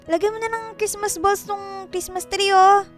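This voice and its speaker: A woman's voice: extremely high pitch, fairly even intonation, moderate pace, soft background music. A woman playing a nagging, exasperated mother of a teenage girl in a Filipino radio drama, scolding and exclaiming.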